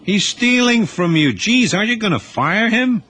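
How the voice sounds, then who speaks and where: A man voicing a brash, scheming teenage boy in an audio drama, raising his voice high and pushy. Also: very animated, moderate pace, pushed and bright, full character voice. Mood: indignant.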